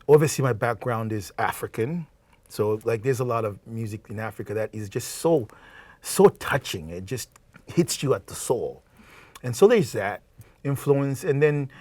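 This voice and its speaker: A man in his thirties from Zambia, a singer-songwriter guest talking casually in a TV studio, animated and quick to laugh. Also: medium pitch, dry recording. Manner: animated, laughs often, repeats words. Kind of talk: casual interview talk. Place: TV studio set.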